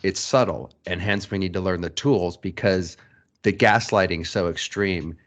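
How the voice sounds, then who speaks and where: Male podcast host, low voice, tentative and apologetic when questioning. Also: a little slow. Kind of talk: casual interview talk.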